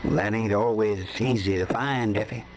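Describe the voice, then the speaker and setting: An actor playing a lonely, charming drifter in a vintage radio drama, speaking softly and coaxingly. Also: male voice, normal speed, lightly acted. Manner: soft, low, coaxing talk. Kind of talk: 1950s radio drama acting. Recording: hissy old radio broadcast.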